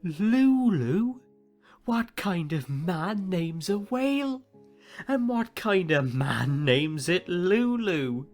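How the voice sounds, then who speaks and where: An actor playing a boastful, bullying young pirate captain in an audio drama, swaggering and taunting. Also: male voice, high voice, lively intonation, drawn-out words, soft background music, full character voice. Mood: indignant. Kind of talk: theatrical audio drama dialogue.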